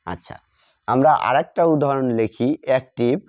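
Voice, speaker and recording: A man teaching English grammar, repeating words slowly as he writes them out. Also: medium pitch, a little mellow. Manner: slow, repeats words while writing them out. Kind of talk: slow classroom explanation while writing. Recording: dull lo-fi audio.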